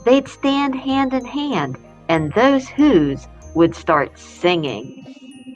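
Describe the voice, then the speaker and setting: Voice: mid-range voice, very animated, extremely slow. A person reading a rhyming children's story aloud on a lo-fi recording. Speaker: library staff member reading aloud. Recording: dull, lo-fi sound. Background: children's jingle music.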